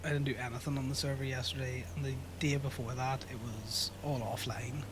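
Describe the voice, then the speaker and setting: A man's voice: mid-range voice, little pitch movement, a little fast, noisy background. Sniffly sick Irish man, chatty. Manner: chatty, self-mocking, wry. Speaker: streamer. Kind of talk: casual livestream chat. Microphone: desk microphone.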